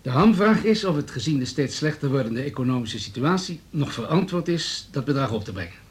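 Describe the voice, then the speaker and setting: A man's voice: medium pitch, expressive, a little fast, natural voice. Condescending male politician played by an actor. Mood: matter-of-fact. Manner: smooth, businesslike, needling. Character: hard-nosed, condescending male town politician. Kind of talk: Dutch radio drama dialogue.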